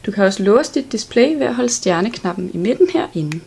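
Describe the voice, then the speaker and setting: A woman's voice: medium pitch, expressive, creaky, a little mellow, breathy edge. A woman giving an instructional product walkthrough in a plain, step-by-step way. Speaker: product demonstrator.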